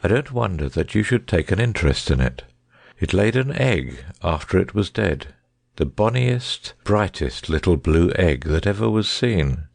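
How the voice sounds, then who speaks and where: A man voicing a suave, assured gentleman detective in an audiobook story, speaking with bland, precise courtesy. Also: deep voice, very animated, moderate pace, vocal fry, no room sound, full character voice. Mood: quietly triumphant.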